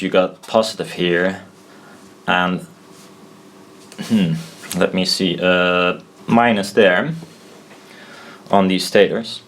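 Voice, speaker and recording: A man giving an informal, unscripted technical explanation, haltingly thinking aloud as he goes. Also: fairly low pitch. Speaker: hobbyist explainer. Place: indoors at a desk.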